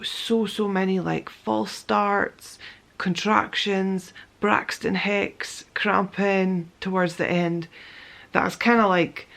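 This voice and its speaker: A young woman with a croaky voice, casually telling her story to the camera in a chatty way, with many ums and self-corrections. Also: medium pitch. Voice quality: gravelly. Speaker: vlogger.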